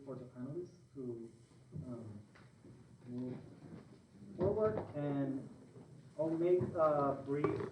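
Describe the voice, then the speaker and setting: A man with a Puerto Rican accent moderating a panel, talking to a full room in an unhurried way with pauses between phrases. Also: mid-range voice, distant mic, heavy background noise. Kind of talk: public talk to an audience. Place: large event room with an audience. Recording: echoey room recorded from afar.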